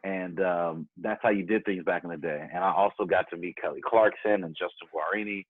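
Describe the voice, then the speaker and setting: A man's voice: sits low, steady tone, a little fast, slightly rough. A man chatting casually as an interview guest, telling stories in a rambling, slangy, conversational way. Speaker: podcast guest, music performer. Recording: thin phone-like call, roomy echo.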